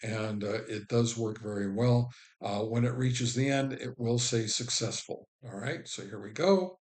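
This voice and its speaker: A man hosting a casual how-to video, talking informally and somewhat haltingly as he explains each step. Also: mid-range voice, a little mellow. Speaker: host of a ham radio how-to channel. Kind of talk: casual tutorial narration.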